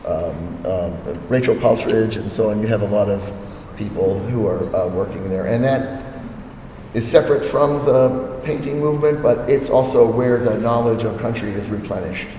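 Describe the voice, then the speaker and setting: An older man, an anthropologist, explains things to an audience at a gallery talk. He often pauses and says um. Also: fairly low pitch, warm tone. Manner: hesitant, many ums, thoughtful. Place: gallery hall. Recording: echoey gallery hall, faint noise.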